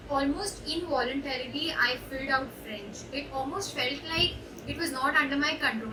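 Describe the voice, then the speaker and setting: A young woman with an Indian English accent, her voice a little high, talks through her story in a thoughtful way and stops often to hesitate. She sounds set back from the mic in an echoey room, with steady noise underneath. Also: distant mic. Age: young adult. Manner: reflective, hesitant with many uh fillers. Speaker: student intern. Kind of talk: personal testimonial.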